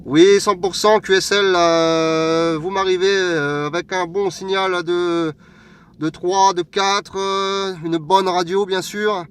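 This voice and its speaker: High, clear-voiced Frenchman on radio. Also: steady tone, slow pace. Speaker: mobile radio operator. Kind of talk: friendly radio contact chat. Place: inside a parked vehicle. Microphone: radio hand microphone, recorded close by.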